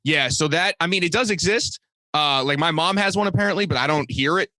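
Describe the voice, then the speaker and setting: A young man from Massachusetts talks quickly in a bright, forward voice, guesting on an interview. He tosses out jokey asides and piles on "like" and "you know". The recording is dry and close. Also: a little high, dry recording. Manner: loose, filler-heavy, joking asides. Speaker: comedian and designer, guest. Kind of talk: casual interview chat.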